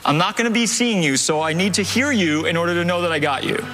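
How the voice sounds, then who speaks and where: Guy doing magic patter on stage, high voice, bossing the volunteers around nicely, music playing behind. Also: expressive, quick pace. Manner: upbeat, instructing volunteers step by step. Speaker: stage magician performing a trick. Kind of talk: live stage patter directing an audience. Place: theater stage before a live audience.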